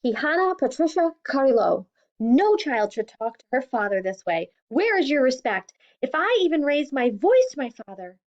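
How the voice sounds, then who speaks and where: A woman's voice: high voice, moderate pace, few pauses, lo-fi sound, pitched above the performer's own voice, close to an everyday voice. A woman voicing a proud, strict Guatemalan father in an audiobook, her voice raised and insistent. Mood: angry. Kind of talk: audiobook reading.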